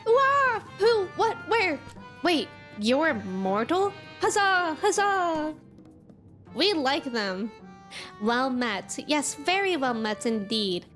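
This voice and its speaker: A woman voicing an excitable, absent-minded fae artisan from a game, exclamatory and scatterbrained, with old-fashioned wording. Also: high voice, slow pace, faint music behind, voice pushed up, full character voice. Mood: startled, delighted. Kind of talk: reading game dialogue aloud.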